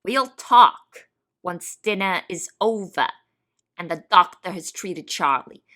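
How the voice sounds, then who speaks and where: Woman acting stern, slow-speaking household superior. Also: lowish voice, lively intonation, bright, forceful tone, exaggerated voice. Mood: stern, threatening. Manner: commanding. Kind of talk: audio drama acting.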